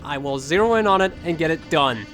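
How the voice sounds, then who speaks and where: A man's voice: on the high side, animated, fairly quick, a bit bright, faint music behind. A young man giving casual commentary as a gaming video creator.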